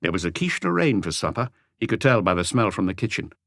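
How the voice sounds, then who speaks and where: Deep-voiced male audiobook narrator, very expressive. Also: quick pace, dry recording, studio quality. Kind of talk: scripted storytelling narration of a mystery novel.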